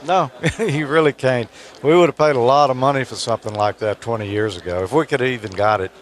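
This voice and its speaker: A man's voice: medium pitch, quick pace. A man with an American accent, casually chatting as the host of a show. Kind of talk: casual hosting and chat. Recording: noisy background ambience.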